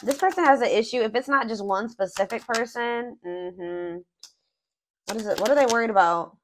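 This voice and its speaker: A woman's voice: on the high side, moderate pace, a bit bright, a little hiss or hum. Chatty woman reading tarot aloud. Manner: thinking aloud, many fillers and restarts. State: voice going hoarse. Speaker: tarot reader. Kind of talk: casual tarot reading narration.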